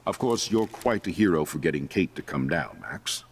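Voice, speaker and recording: A man's voice: extremely low pitch, animated, normal speed, gravelly, slightly rough, exaggerated voice. A man playing a stern, formal school principal with a pompous manner in a video game drama. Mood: solemn praise.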